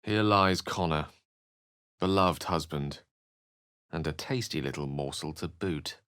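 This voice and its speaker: An actor playing a grave adventurer, narrating his own haunted thoughts in a solemn, measured, dramatic way for a video game. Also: a bass voice, fairly bright tone, smooth, dry recording, studio quality. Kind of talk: scripted first-person game narration.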